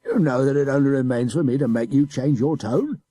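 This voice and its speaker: A blustering middle-aged soldier, loud and pushy, with a raised voice that swings up and down as he bullies and cajoles. A man acts him out in a storybook reading. The voice is husky and mellow, in a dry close sound. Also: medium pitch, expressive, brisk, dry recording, pitched above the performer's own voice, close to an everyday voice. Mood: angry threatening. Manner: blustering, chuckling, coaxing. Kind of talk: audiobook character voice.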